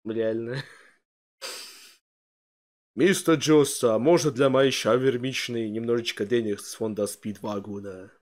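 A young man with a middle-pitched voice reacts to a stream clip, cracking crude jokes and thanking donors. He talks into a headset mic in a small room at home. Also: fairly even intonation, a little slow, pauses often, darkish tone. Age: young adult. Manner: joking, crude, reads donations aloud. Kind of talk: casual reaction commentary.

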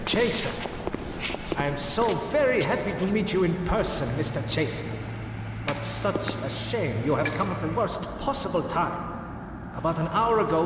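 Polite crime boss, wordy and formal, highish voice, big echoey hall. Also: male voice, noisy background. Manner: elaborately polite, formal, unhurried phrasing. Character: courteous, wordy underworld businessman boss. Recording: echoey hall, distant, music behind.

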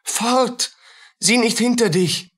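An actor playing a haunted, humble poor soldier in a radio play, speaking in halting fragments with a husky voice. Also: male voice, extremely high pitch, a bit bright, heavily acted. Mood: haunted, fearful.

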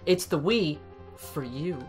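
A woman's voice: deep voice, expressive, slow. A woman playing a platform game and chatting playfully and sarcastically, with game music behind. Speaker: player in a let's play. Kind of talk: casual let's play chat.

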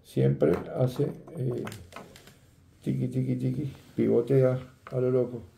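A man's voice: mid-range voice, level tone, takes it slowly, creaky, dark tone, reverberant, slight background noise. An older man, teaching a fishing rig setup in a chatty tutorial and often asking his viewers "¿eh?" and "¿ves?". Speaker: fishing instructor. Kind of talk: hands-on tutorial demonstration. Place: indoor workroom at a table.

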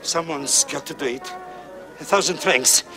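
A man's voice: fairly high pitch, unhurried, sharp tone. An actor playing a commanding, urgent male opera house manager in a crisis. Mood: frantic. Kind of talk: film drama acting. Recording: film soundtrack with music behind.

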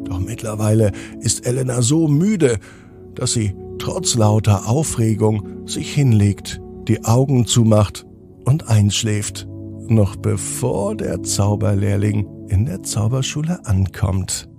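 A man narrating a children's bedtime story as podcast host, in an expressive, measured storytelling manner. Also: lowish voice, vocal fry, music in the background.

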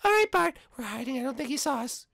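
A woman's voice: sits high, sing-song, quick pace, creaky, fairly bright tone, husky, dry recording, studio quality, heavily acted. A woman voicing a bossy, confident young girl who keeps encouraging her brother, in a homemade cartoon play.

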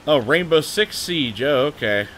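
A man's voice: a little high, animated, a little slow, a bit bright, slight background noise. An American man hosting a gaming livestream, chatty, laughing often and swearing when something surprises him. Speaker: livestream host. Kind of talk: casual gaming livestream chat.

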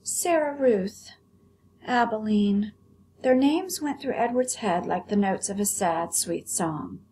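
An American woman teaches and reads aloud to children in an explanatory way. Her mid-pitched voice moves up and down a lot, with faint noise behind it. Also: expressive, takes it slowly, warm tone. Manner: explanatory, clearly phrased for children. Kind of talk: vocabulary lesson and expressive story read-aloud.

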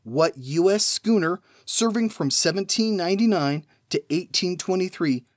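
A man's voice: sits high, expressive, no room sound. Man reading trivia questions, dry close sound. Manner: measured, reads each question then pauses before the answer. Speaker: trivia podcast host.

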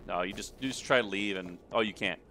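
A man giving casual, crude and excitable commentary as he hosts a video game playthrough. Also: fairly low pitch, fast, a little hiss or hum. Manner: crude, sweary, excitable reactions. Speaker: let's play host. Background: video game audio.

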